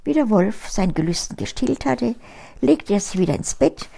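An elderly woman, reading a fairy tale aloud as an expressive grandmotherly storyteller. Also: medium pitch, normal speed, creaky. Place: small book-filled living room. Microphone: lapel mic.

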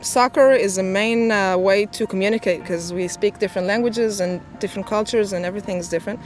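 A woman in her twenties from Israel, speaking haltingly with many fillers in an outdoor interview. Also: mid-range voice. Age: 20s. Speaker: youth worker. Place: outdoors by a sports field.

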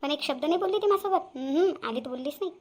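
A woman as a shrill, nagging Varhadi grandmother. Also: expressive, fast. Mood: offended. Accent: Vidarbha Marathi (Varhadi). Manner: nagging, complaining, muttering asides. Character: proud, grumbling, boastful grandmother and mother-in-law. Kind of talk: cartoon family drama dialogue.

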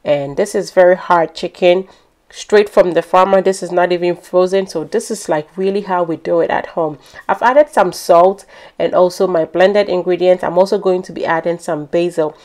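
A woman's voice: lowish voice, fairly even intonation, normal speed, a little mellow. A woman with a Ghanaian accent narrating a home cooking tutorial in a chatty, explanatory way. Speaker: home cook hosting a cooking channel. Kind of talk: instructional cooking narration, conversational.

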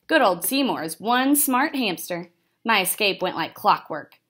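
A woman reads as a chatty little male hamster from a picture book, very pleased with himself. He poses questions and answers them proudly, in a medium-pitched voice. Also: lively intonation, unhurried, fairly bright tone, breathy edge. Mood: smug. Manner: self-satisfied, asking and answering himself. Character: cocky, chatty, easily delighted male pet hamster.

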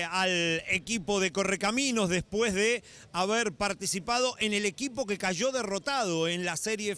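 High-voiced man interviewing courtside. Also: bright, forceful tone, smooth. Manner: formal, long-winded questions. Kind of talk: post-game sports interview. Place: indoor basketball arena. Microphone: handheld mic.

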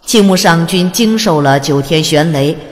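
A woman's voice: sits low, moderate pace. A woman reading a fantasy audiobook as narrator, in a dramatic scripted storytelling style, with reverb on her voice.

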